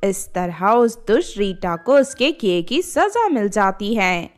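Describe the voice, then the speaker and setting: A woman tells a scripted fairy tale for a children's cartoon. Her delivery is unhurried and richly varied in melody, with faint music underneath. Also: medium pitch, sing-song. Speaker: storyteller, narrator.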